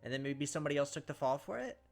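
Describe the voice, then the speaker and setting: A man's voice: on the high side, lively intonation, very fast, vocal fry, faint music behind. A young man doing casual game commentary and reading story narration aloud. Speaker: gaming video host.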